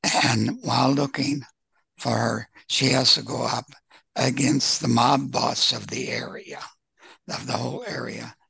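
Man, heavily creaky and rough, bright forceful tone, casual book-club guest host. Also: medium pitch, steady tone, a little slow, no room sound.

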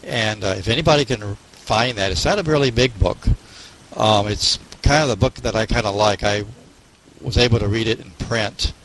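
Low-voiced man talking haltingly over background noise. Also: fairly bright tone, rough recording. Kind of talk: casual book club discussion.

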